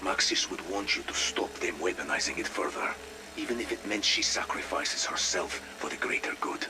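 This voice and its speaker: Shadowy contact, knowing and clipped, deep-voiced, game audio log. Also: male voice, lively intonation, noisy background. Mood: grave, insistent. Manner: terse, deliberate. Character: mysterious, ominous Russian male informant, cool and knowing.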